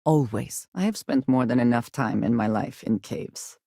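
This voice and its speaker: An actress playing a guarded, earnest female spacefaring companion in a video game, speaking in formal, measured wording. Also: rumbling low, creaky, mellow, no room sound, clean studio sound, full character voice. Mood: composed, observant. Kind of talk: video game character dialogue.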